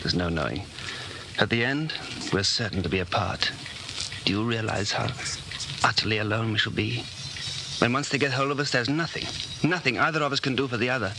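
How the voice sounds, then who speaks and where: An actor playing an earnest, fatalistic rebel in a 1940s radio drama, speaking with grave, declamatory intensity. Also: male voice, mid-range voice, a little fast, long pauses, close to an everyday voice. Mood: fervent, fatalistic. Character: earnest, fatalistic male rebel, secretly in love. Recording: noisy 1949 radio broadcast recording.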